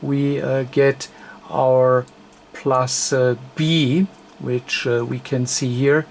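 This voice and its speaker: Very slow, halting man explaining electronics. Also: mid-range voice, flat delivery, creaky, slight background noise. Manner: halting, thinking aloud, heavy hesitation. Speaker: electronics repair host. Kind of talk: unscripted technical demonstration.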